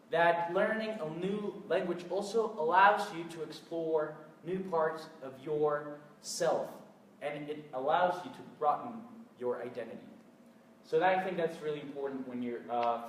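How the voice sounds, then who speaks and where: Young male speaker, native Spanish, earnest and halting with fillers, echoey room, faint ambient noise. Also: fairly high pitch. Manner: earnest, hesitant with frequent fillers. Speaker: event speaker. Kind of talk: public talk to an audience. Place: event room with live audience. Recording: echoey room, mic at a distance.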